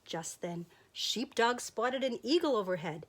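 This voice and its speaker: Expressive woman reading a children's book. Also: fairly high pitch, unhurried, slight background noise. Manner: expressive, playful read-aloud voice. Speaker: storyteller teacher.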